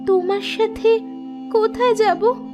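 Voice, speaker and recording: A woman's voice: very high voice, fairly even intonation, takes it slowly, music in the background. A woman voicing a sad, pleading young girl in a cartoon story. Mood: sorrowful. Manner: plaintive, pleading. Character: sad, mistreated young stepdaughter, pleading and sweet.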